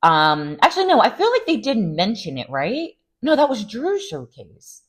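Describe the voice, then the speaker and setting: A woman giving a casual, opinionated recap of a reality TV show, chatty and animated, with sarcastic asides. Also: fairly high pitch, moderate pace. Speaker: recap vlogger.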